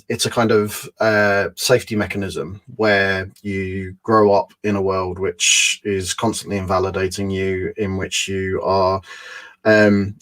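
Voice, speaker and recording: Thoughtful man in his forties on a video call. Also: on the low side. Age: 40s. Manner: thoughtful, many fillers and restarts, laughs often. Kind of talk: casual online talk.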